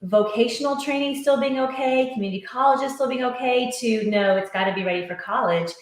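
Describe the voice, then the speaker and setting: A woman's voice: fairly high pitch, a little fast, echoey room. A middle-aged woman, an author and education coach, talks earnestly in long flowing sentences during a podcast interview.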